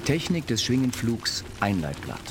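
A man's voice: medium pitch, big pitch swings, normal speed, noisy background. A man giving a literary reading of written texts for a radio feature. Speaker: reader of an inventor's writings. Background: music.

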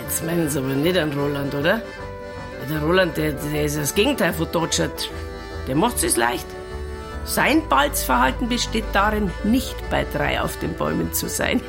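A woman's voice: deep voice, very animated, moderate pace, vocal fry, over music, exaggerated voice. An actress playing a droll, gossipy village woman with a Bavarian-Austrian accent, dry and full of sly innuendo, in a radio drama. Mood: sly, knowing.

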